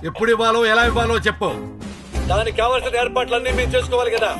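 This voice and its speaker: An actor playing an exasperated police commissioner in a film drama, negotiating with urgent, remonstrating insistence. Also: male voice, very high voice, little pitch movement, rapid-fire. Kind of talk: Indian film drama dialogue. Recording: film soundtrack with music.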